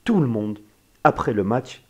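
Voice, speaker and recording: A man from Spain co-hosting a podcast, talking in a casual, animated and opinionated way. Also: mid-range voice, measured pace. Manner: animated, opinionated, mimics people in passing. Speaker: podcast co-host.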